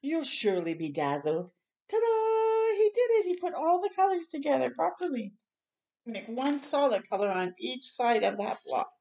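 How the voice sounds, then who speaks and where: A woman's voice: sits high, very animated, moderate pace, mellow, a little hiss or hum. An older woman doing a children's storytime, reading a picture book aloud and adding encouraging, chatty asides. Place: small room at home, indoors.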